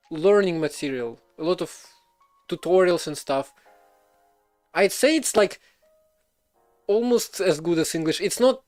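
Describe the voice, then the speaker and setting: Young guy streaming code, kinda high voice, lots of ums and restarts, faint music behind. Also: a little mellow. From Belarus. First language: Russian. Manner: rambling, many fillers and restarts, laughs often. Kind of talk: casual live coding stream chat.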